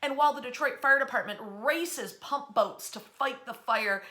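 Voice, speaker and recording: A woman presenting local history as an animated storyteller, in a conversational, emphatic and folksy way. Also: a little high, normal speed.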